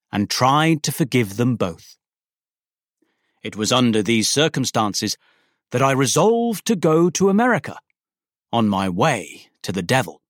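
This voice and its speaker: A bashful, melancholy Victorian gentleman, played by a male actor, narrates his tale in measured storybook prose. The voice is fairly high for a man and swings expressively across its range. The sound is close and dry. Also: dry recording. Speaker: actor reading an audiobook excerpt. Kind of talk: dramatic literary narration.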